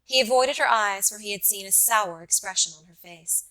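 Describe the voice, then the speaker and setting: Female audiobook narrator, bright tone, measured classic-fiction reading. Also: mid-range voice, poor audio. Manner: measured literary reading, voicing dialogue lightly. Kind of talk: scripted narration of a classic story.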